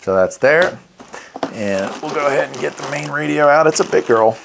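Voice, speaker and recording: A man's voice: a little high, lively intonation, normal speed, creaky, background noise. A man hosting a casual product walkthrough, easygoing and thinking aloud as he goes. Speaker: radio reviewer, channel host.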